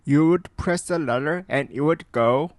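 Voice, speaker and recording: A man from South Korea with a light Korean accent, narrating a personal story in a calm, earnest, conversational way. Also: on the high side, moderate pace, no room sound. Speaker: animation storytime creator.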